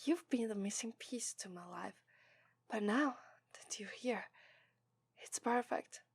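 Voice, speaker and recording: A woman's voice: fairly high pitch, unhurried, gravelly, slightly rough, dry recording, exaggerated voice. A woman with an Italian accent voicing an affectionate, cheerful young demon princess bride in an ASMR roleplay. She giggles often and gets flustered easily. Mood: tender whisper. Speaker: voice actress.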